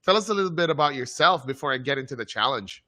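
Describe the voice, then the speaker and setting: A man's voice: medium pitch, expressive, quick pace, sharp tone. A man hosting a live online show, introducing a guest with enthusiasm and plenty of fillers. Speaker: host.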